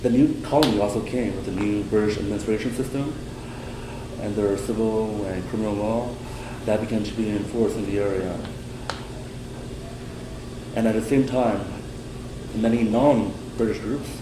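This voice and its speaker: A young male student giving a prepared class presentation in a steady way, slowing on key words. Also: on the low side. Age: young adult. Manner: steady, prepared delivery with some slow stresses. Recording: echoey room with air-conditioning hum.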